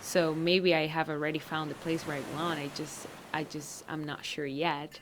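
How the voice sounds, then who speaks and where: A woman's voice: low voice, little pitch movement, moderate pace. A woman speaking hesitantly and reflectively, searching for her words. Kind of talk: reflective spoken testimony. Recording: clip with clear background noise.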